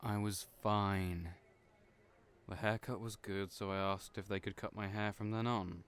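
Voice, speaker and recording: Guy playing a gentle awkward male friend, lowish voice, really slow and hesitant, audio roleplay. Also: fairly even intonation, clear, resonant tone. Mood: guarded. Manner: hesitant, soft-hearted, understated. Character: kind, awkward, gentle male friend, guarded about past heartbreak.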